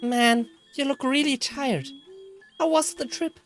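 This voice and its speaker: A woman voicing an excitable, affectionate young cousin with slangy, teasing chatter in a visual novel. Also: sits high, slow, soft background music, pitched above the performer's own voice. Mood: warm, playful. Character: excitable, affectionate cousin, a young bro-talking birthday girl. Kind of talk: acted visual novel dialogue.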